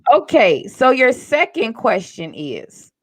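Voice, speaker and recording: A woman hosting a casual chat, upbeat and asking quick questions. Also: on the high side, huge pitch swings, slight background noise. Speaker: host.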